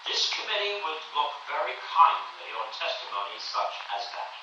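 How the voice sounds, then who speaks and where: Man playing smug pushy congressman, highish lively voice, radio drama, super echoey and muffled. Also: moderate pace, recorded from a distance, full character voice. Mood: impatient. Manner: insinuating, officious. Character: smug, officious male congressman interrogating a witness. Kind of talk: period radio drama acting. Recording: very echoey, dull, noisy, off-mic.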